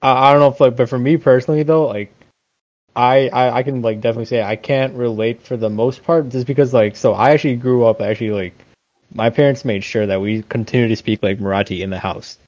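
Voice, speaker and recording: A man's voice: medium pitch, fairly even intonation, fast, a little mellow, dull audio. A man in his twenties from India, chatting casually on a podcast and rambling with lots of fillers and self-corrections. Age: 20s.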